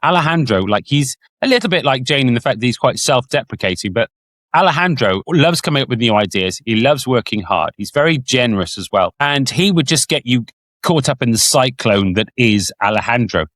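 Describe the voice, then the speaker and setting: A man, a podcast co-host and former cruise director, reminiscing in a casual, animated way. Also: mid-range voice, fairly quick, dry recording, clean studio sound. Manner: animated storyteller, puts on voices when quoting.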